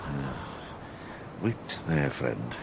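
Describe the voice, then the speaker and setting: An actor playing a shrewd, devout medieval monk who investigates, with a British accent and a measured, pondering delivery in a radio drama. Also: male voice, extremely low pitch, sing-song, creaky, noisy background, heavily acted. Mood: grave, searching. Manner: measured, hesitant pondering, gently authoritative.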